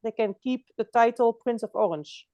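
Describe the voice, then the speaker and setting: A woman's voice: on the high side, moderate pace, mellow, no echo. A woman from France with a Dutch accent, chatting as a guest on a video call, often laughing and restarting her phrases. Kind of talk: casual online chat.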